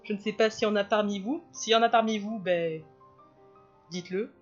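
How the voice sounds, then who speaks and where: A chatty woman talks through her drawing in a loose, rambling way, often drifting into asides. Her voice sits at an ordinary middle pitch. The sound is a bit dull and lo-fi, with faint music underneath. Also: steady tone, fairly quick. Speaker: illustrator and video host. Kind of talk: casual drawing commentary.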